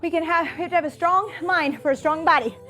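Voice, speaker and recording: A woman's voice: very high-pitched, fairly even intonation, fast talker, sharp tone. A woman working as a fitness instructor, counting and cueing exercises in a chatty, encouraging way with self-deprecating asides, her nose a little stuffed up. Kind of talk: workout coaching, counting and cueing to camera.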